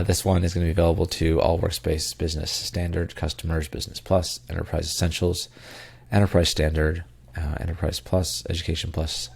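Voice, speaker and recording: A man's voice: sits low, gravelly, a little mellow, breathy edge. A man hosting a casual tech news podcast, congested and tired, with plenty of ums and uhs and loose rambling asides. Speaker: podcast co-host.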